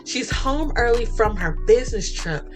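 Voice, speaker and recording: A woman voicing a smooth cheating young man in a romance story, talking in an urgent, slangy, commanding way. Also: a little high, animated, normal speed, soft background music, voice pushed up, lightly acted. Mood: panicked.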